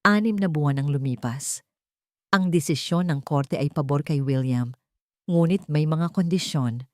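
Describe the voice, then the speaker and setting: A woman's voice: low-pitched, measured pace, darkish tone, no echo, clean studio sound. A woman narrating a scripted dramatic audiobook story.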